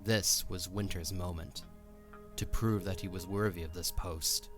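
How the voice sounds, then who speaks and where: Deep-voiced male storyteller over music. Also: steady tone, unhurried. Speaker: narrator. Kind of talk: scripted audio drama storytelling narration.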